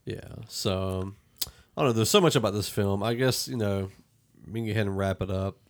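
A man's voice: on the low side, a little fast. A man hosting a podcast, chatting casually about films and thinking aloud as he goes. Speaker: podcast host. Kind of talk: casual film review chat.

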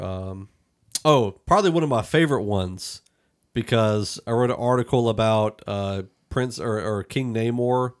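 A man hosting a podcast, casually discussing a film and thinking aloud in a halting way. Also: lowish voice. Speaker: podcast host.

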